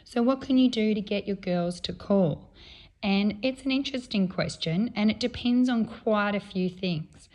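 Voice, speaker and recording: Chatty woman, croaky from a cold, talking off the cuff as a podcast host. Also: mid-range voice. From Australia. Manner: chatty, relaxed, with frequent ums. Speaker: podcast host and cat breeder. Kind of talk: off-the-cuff explanatory podcast talk.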